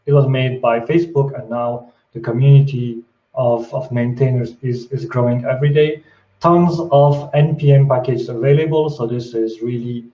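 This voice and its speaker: A man's voice: medium pitch, warm tone. A man from Switzerland giving a technical conference talk, explaining steadily with fillers and repeated words. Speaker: conference speaker. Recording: distant, echoey, muffled remote call audio.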